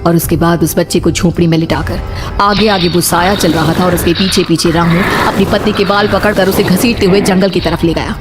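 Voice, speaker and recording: A woman's voice: on the low side, steady tone, a little fast. A woman reading scripted horror story narration for a cartoon. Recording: prominent music under the voice. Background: loud background music.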